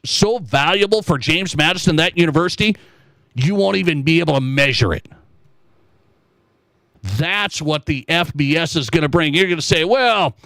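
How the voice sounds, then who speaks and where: High-voiced American man on sports radio. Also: fairly bright tone, dry recording. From Montana, United States. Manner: emphatic, argues with mocking imagined objections. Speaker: sports talk radio host. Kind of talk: opinionated sports talk monologue.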